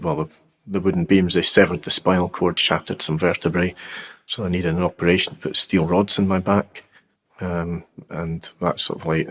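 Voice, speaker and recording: A man in his fifties telling his life story in an interview, unhurried and halting, with plenty of fillers and restarts. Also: sits low. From Scotland. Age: 50s. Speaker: guest sharing his testimony. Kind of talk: personal testimony interview.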